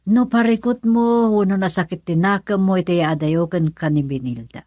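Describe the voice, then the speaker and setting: A woman's voice: mid-range voice, normal speed, darkish tone, no room sound, natural voice. A woman acting in an Ilocano radio drama as a worried middle-aged mother, fretful and admonishing. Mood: worried scolding. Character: worried, scolding mother of a grown son.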